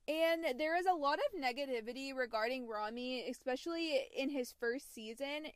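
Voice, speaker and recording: A woman's voice: high-pitched, clean tone, no room sound. A woman hosting a podcast, reading a scripted, earnest introduction. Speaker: podcast host.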